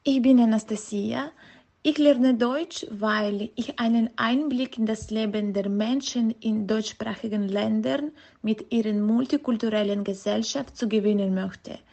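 A woman speaks in a fairly high but creaky voice. She delivers a formal prepared statement as a language student. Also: measured pace, dark tone.

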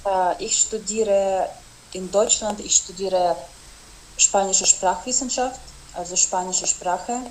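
A woman's voice: medium pitch, fairly even intonation, slow, darkish tone, rough recording. A young exchange student whose first language is Russian answers casual interview questions. She hesitates often and fills gaps as she talks. Her voice sits mid-range, with a faint hiss of noise in the background. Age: young adult. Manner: hesitant, with frequent fillers.

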